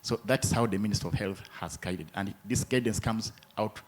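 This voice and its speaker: Ugandan guy at a conference, low husky voice, formal and methodical. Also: moderate pace. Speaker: hospital administrator. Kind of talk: comment from the floor at a conference. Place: conference room.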